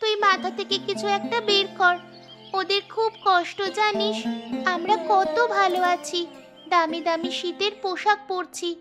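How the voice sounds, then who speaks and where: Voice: very high-pitched, little pitch movement, unhurried, music in the background. A performer voicing a bold, stubborn, kind-hearted young village girl in a Bengali cartoon, bossy and insistent.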